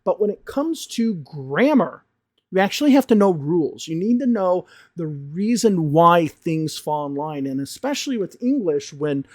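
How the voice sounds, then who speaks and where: A man with a slightly high voice talks like a teacher, explaining with emphasis and lively punches on key words. His voice sounds dry and close, with no room sound around it. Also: dry recording. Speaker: English teacher reacting to a video. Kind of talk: reaction commentary.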